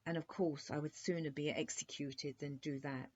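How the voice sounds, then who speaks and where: A woman's voice: deep voice, steady tone, moderate pace, darkish tone, husky. A woman voicing a polite, resigned young prince in a storybook, speaking formally and courteously. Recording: dull lo-fi recording.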